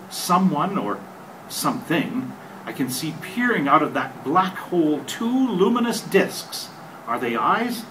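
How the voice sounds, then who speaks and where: An older man playing an excited radio reporter giving formal, urgent eyewitness commentary in old-time radio drama style. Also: fairly high pitch. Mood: horrified. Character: excited male radio reporter describing a crash scene live. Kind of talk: 1930s radio drama acting, read from a script. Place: hotel conference room, panel table. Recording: noisy phone-camera recording from a distance.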